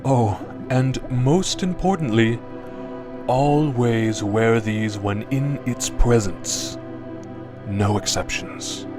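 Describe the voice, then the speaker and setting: A man playing a calm, mysterious shopkeeper in a radio drama, with a smooth voice and measured, formal, knowing delivery, quietly ominous. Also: mid-range voice, lightly acted. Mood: warning, firm. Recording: voice over prominent background music.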